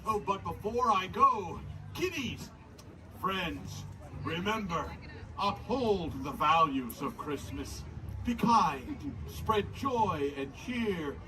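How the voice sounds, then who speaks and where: A man's voice: sits high, expressive, slow pace. A man playing a jolly old barn-dwelling Santa, talking theatrically with chuckles to a crowd of kids. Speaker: costumed character performer. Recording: distant and noisy.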